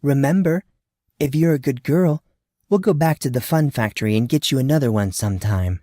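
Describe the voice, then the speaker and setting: A man's voice: medium pitch, fairly quick, mellow, dry recording, studio quality, natural voice. A man voicing a sweetly dismissive mother talking down to her young child, coaxing and a little dreamy. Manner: coaxing, patronizing.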